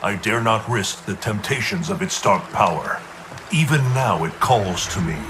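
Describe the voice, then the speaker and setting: Solemn, formal war-leader god for a game, male actor, fairly low, measured and burdened, over noisy ambience. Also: expressive, natural voice. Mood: grave. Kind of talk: dramatic video game acting.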